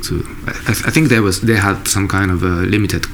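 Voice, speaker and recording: Musician guy, deep voice, lots of uhs and restarts, bit of noise behind. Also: steady tone, fairly bright tone. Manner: halting, searching for words, many fillers and restarts. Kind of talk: interview answers. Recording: faint background noise.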